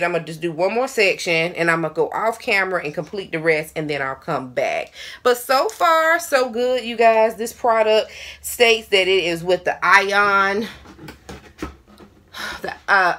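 A woman in her thirties talks straight to the camera in a small bathroom while she works through her hair. Her voice is bright and forward, with chatty, playful asides to her viewers. A hair dryer hums in the background. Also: medium pitch, expressive, a little slow. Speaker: hair vlogger. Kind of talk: casual product review while styling hair. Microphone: camera in front, hands busy. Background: hair dryer running.

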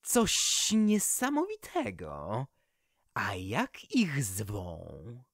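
Small polite storybook snake, put on by the narrator, very slow drawn-out delivery, dry sound. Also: medium pitch, huge pitch swings, gravelly, no room sound, full character voice. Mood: admiring. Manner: slow, drawn-out, careful speech. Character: small gentle male grass snake, polite, wistful.

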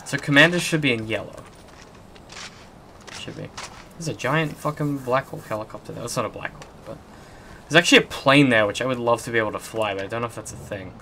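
A man hosting casual gameplay commentary, hoarse from losing his voice, rambling with self-corrections. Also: mid-range voice, brisk, a little hiss or hum. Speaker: gameplay host. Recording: loud game audio under the voice.

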